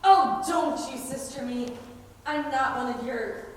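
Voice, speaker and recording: Teen girl as feisty haughty nun, slow, echoey. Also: high voice. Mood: indignant, haughty. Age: teenager. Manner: emphatic, haughty, sarcastic. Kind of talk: high school stage play acting. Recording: distant, echoey hall with background noise.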